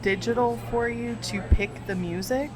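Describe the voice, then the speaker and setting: A woman's voice: fairly high pitch, moderate pace, a crowd in the background. A woman hosting a casual podcast chat, asking curious follow-up questions. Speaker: podcast host. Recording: noisy background.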